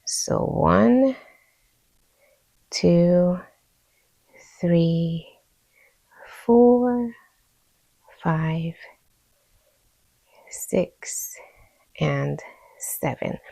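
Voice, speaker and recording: A woman with a Hungarian accent guides listeners through a craft tutorial. She speaks very slowly, in a soft-edged, mellow tone with a fairly low pitch. Her delivery is informal and easygoing, like a patient demonstration done step by step. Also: lively intonation, vocal fry, poor audio. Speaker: host of a crochet tutorial channel.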